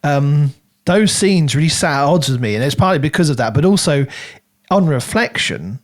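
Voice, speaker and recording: A man co-hosting a podcast, talking through a book casually in an animated, rambling way as he thinks aloud. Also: fairly high pitch, normal speed. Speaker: podcast co-host.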